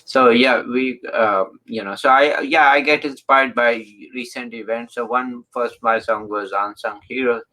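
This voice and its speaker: A man hosting an interview, speaking haltingly with many fillers. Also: medium pitch, steady tone, sharp tone, rough recording. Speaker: interview host. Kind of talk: casual interview chat.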